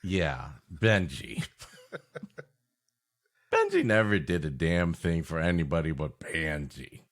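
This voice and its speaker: A man with a low voice and a slow, deliberate pace co-hosts a comedy podcast. His pitch moves around a lot as he improvises wry, mock-exasperated banter. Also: wildly swooping pitch. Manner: mock-exasperated, wry reacting with drawn-out setups. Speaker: podcast co-host.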